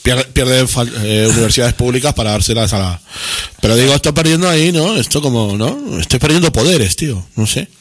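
A man's voice: mid-range voice, animated, fairly quick. A man co-hosting a radio show, chatting casually, rambling, repeating himself and trailing off.

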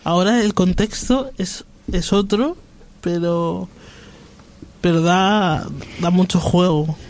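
Brief: man, high voice, reflective, conversational discussion. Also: slow pace, darkish tone, poor audio. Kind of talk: casual discussion.

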